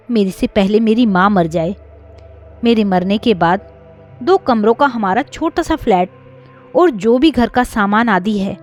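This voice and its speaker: A woman's voice: medium pitch, darkish tone, lightly acted. A woman voicing an earnest, devoted adult son, a doctor, in a plain-spoken storybook telling.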